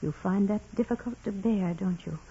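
An actress playing a severe, bitter senior nun in 1940s radio drama style, stern, clipped and intense. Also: female voice, medium pitch, normal speed, warm tone, slightly rough. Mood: cold, accusing. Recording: dull, hazy vintage radio recording.

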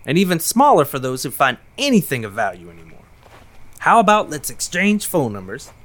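An actor playing a chatty, pushy small-town hobbyist in naturalistic, casual drama dialogue. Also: male voice, a little high, sing-song, brisk, fairly bright tone. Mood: insistent, friendly.

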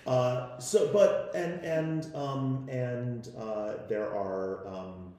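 An American man from the Midwest speaking on a panel, haltingly, repeating words as he thinks aloud. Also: mid-range voice, mellow. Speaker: panelist, member of an audio drama team. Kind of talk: live panel discussion. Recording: very echoey room, faint background noise.

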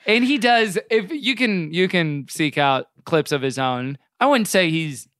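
A man's voice: high-pitched, lively intonation, a little slow, fairly bright tone, smooth, no room sound, studio quality. A man co-hosting a podcast in casual discussion, wry and often qualifying his points as he goes. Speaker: podcast co-host.

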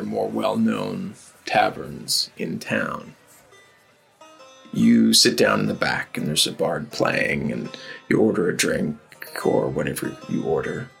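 A man narrating as game master of a tabletop game, in loose, halting narration full of fillers. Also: fairly low pitch, animated, gravelly, fairly bright tone, breathy edge, faint music behind.

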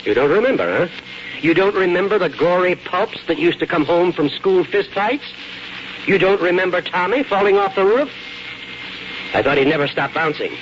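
An actor playing a wry, teasing elderly husband in a 1940s radio drama, with a dry, folksy manner. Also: male voice, high voice, animated, normal speed. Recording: noisy, muffled 1940s radio broadcast.

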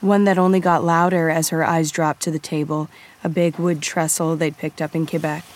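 A woman narrates scripted fiction in a low voice. She reads as an audiobook storyteller, setting scenes and describing characters. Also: flat delivery, normal speed.

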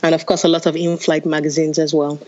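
Guest: woman from Nigeria, lowish voice, friendly talk, muffled recording. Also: little pitch movement, fast, dark tone, slight background noise. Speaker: travel writer and photographer guest. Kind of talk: interview answers.

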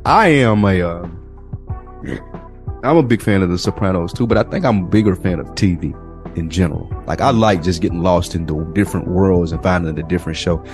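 A deep-voiced American man chats informally as a podcast co-host. Faint music plays behind his low voice. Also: fairly quick, darkish tone. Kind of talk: casual podcast chat.

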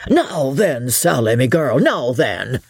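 A man's voice: medium pitch, sing-song, takes it slowly, creaky, darkish tone, breathy edge, no room sound, clean studio sound, heavily acted. A man voicing Mister Jellyband, a hearty, opinionated innkeeper. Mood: blustering. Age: 60s. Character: bluff, worthy old innkeeper, stern father.

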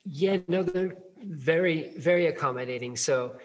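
A man who works as a plant medicine provider, answering interview questions in a relaxed way, full of ums and you-knows. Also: fairly high pitch, normal speed, lo-fi sound, a little hiss or hum. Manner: relaxed, many fillers like um and you know. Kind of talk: interview answers over a call.

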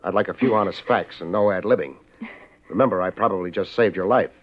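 An American actor playing a wry, laid-back but tough private investigator in a 1950s radio drama. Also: male voice, sits low, fast. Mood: brisk, questioning. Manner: laid-back, wry, hard-boiled delivery. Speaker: lead actor and narrator. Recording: muffled old radio recording, music behind.

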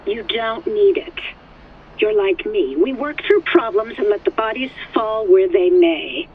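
A woman's voice: medium pitch, expressive. An actress playing a controlling, narcissistic middle-aged mother in an audio drama, speaking in domineering commands through a phone filter. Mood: sharp, dismissive. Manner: domineering, deliberate, curt commands. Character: controlling, narcissistic wealthy mother, imperious and needling. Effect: phone call filter. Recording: muffled phone line with background noise.

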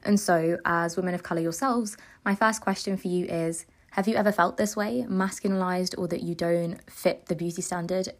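A woman's voice: lowish voice, fairly even intonation, normal speed, few pauses. A young woman hosting a podcast, reading a scripted personal essay in an earnest tone. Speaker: podcast host.

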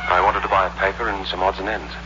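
A man's voice: sits low, level tone, fast, dull audio, close to an everyday voice. An actor playing a weary, cagey impostor who tells his scheme tersely in a 1960s radio suspense play, on a noisy old recording. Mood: tense, hard-boiled. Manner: terse, hard-boiled storytelling. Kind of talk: 1960s radio suspense acting, first-person narration.

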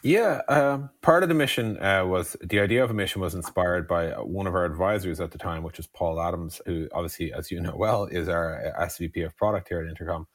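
A low-voiced Irish man talks quickly in a casual, conversational way. He tells founder stories with frequent digressions, leaning on "like" and "you know". The sound is dry and close, with little room. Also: dry recording. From Ireland. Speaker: startup co-founder being interviewed.

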